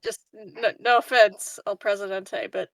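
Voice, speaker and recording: A woman's voice: medium pitch, steady tone, moderate pace, creaky, dry recording, far from the mic, rough recording. A woman chatting casually as a player in a tabletop role-playing game.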